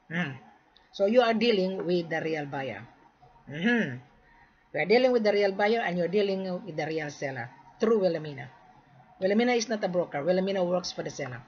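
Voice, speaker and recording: A woman from the Philippines making a repetitive, insistent sales pitch, lecturing the listener in a direct-to-camera talk. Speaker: gold sales marketer. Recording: dull lo-fi recording.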